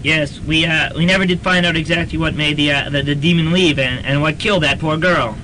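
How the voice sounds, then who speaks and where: An earnest, troubled young male investigator acted by a man for campus radio. He speaks haltingly with stammers in a bright, forceful tone, over faint tape noise. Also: a little high, steady tone. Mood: concerned. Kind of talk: student radio drama acting. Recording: slightly noisy old radio tape.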